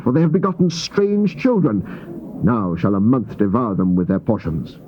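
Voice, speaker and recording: Male scripture reader, solemn theatrical declamation, mid-pitched, dull noisy tape sound. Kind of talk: dramatized King James Bible reading. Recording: dull, noisy old cassette tape recording.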